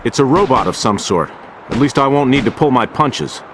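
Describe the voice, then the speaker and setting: An actor playing a steady, earnest, confident superhero in a video game cutscene. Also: male voice, mid-range voice, normal speed, very noisy, natural voice. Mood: determined. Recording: music behind the voice.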